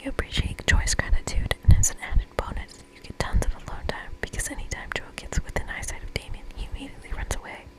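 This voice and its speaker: A woman whispering playfully in an ASMR style as she reads a video game aloud, breathy and unvoiced, with short asides. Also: quick pace, few pauses, low-quality recording. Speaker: ASMR streamer playing and reading a video game.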